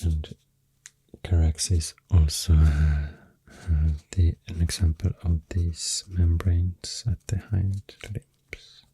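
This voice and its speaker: A woman with a Swedish accent speaks softly in a very low, husky, whispery voice for ASMR. She rambles extremely slowly with halting pauses, hushed and close to the microphone. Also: little pitch movement, dry recording, rough recording. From Sweden. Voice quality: deep, breathy, often whispery. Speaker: ASMR host. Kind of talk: soft-spoken ASMR rambling. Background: soft pencil and clicking sounds.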